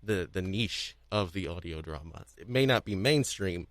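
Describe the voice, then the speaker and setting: A man with a fairly low voice hosts a casual podcast chat. He circles back over words and restarts his phrases, and the sound is close and dry. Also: lively intonation, fairly bright tone, no echo. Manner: halting, repeats words and restarts often. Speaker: podcast host. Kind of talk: casual panel discussion.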